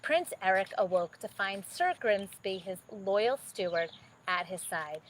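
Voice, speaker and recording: A woman narrating a children's bedtime story expressively, with dramatic, drawn-out emphasis. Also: medium pitch, lively intonation, slight background noise.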